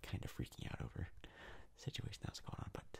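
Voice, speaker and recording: A man giving soft-spoken whispered ASMR game commentary in a breathy, husky voice, rambling hesitantly and repeating words. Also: a little fast. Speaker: gaming video host.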